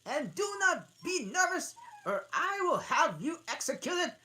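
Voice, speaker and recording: A woman voicing a bossy, threatening storybook king who gives loud, angry commands. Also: sits high, very animated, measured pace, pitched above the performer's own voice, full character voice.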